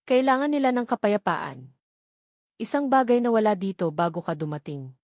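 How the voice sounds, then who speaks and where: A woman narrator plays a Filipino man who manages a resort, calm but guarded and challenging. He speaks with firm, pointed emphasis, as in a romance audiobook. The sound is dry and close. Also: mid-range voice, normal speed, no room sound, close to an everyday voice. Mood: quiet warning. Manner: firm, pointed, quietly warning.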